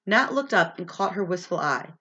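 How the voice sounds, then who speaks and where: Female storyteller, lowish congested voice, read-aloud delivery, dull lo-fi recording. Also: lively intonation, moderate pace, sharp tone. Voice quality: stuffy, congested. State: sinus congestion from allergies. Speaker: reader of an audiobook chapter. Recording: dull, lo-fi sound.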